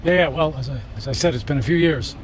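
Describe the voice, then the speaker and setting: An actor playing a self-absorbed, weary wealthy businessman in a radio drama, halting and brooding. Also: a little high, lightly acted. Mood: guarded, weary. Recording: music behind the voice.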